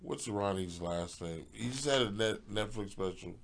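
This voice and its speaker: A middle-aged man, a comedian guest, chatting casually and haltingly, trailing off and joking. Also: fairly low pitch, lively intonation, vocal fry, a little hiss or hum. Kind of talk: casual podcast chat.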